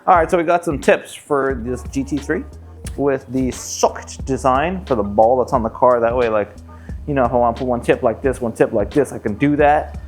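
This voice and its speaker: A man's voice: mid-range voice, moderate pace. A man explaining his fabrication work in an informal, slangy, joking vlog style. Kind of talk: casual vlog chat. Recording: music behind the voice. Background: music.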